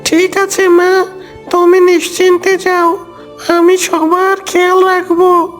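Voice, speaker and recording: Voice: extremely high pitch, monotone, slow, fairly bright tone, soft background music, full character voice. A performer voicing a small, bossy, protective duckling in a cartoon story, with a fretful manner. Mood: reassuring. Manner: scolding, fretful.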